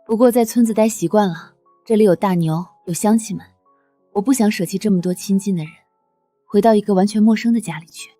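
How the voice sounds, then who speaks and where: An actress playing a calm, caring young elder sister from a village, speaking with gentle firmness. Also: female voice, mid-range voice, fairly quick, no room sound, soft background music, close to an everyday voice. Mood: calm, tender. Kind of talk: scripted drama acting.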